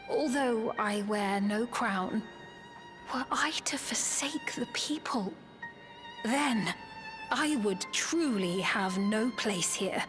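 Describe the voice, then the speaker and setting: An actress playing an earnest, gentle young royal woman in a video game, speaking in a formal, measured and solemn way. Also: fairly high pitch, lively intonation, soft background music. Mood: solemn resolve. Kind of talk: dramatic video game voice acting.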